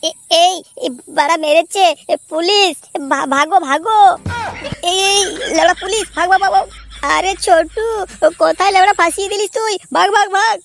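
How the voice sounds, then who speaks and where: A performer voicing an excitable, crude petty crook in a slapstick cartoon, exclaiming and repeating words. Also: very high-pitched, moderate pace, vocal fry, background noise. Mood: panicked. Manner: exclamatory, repetitive, swearing, bantering. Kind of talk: comic cartoon dubbing in colloquial dialect.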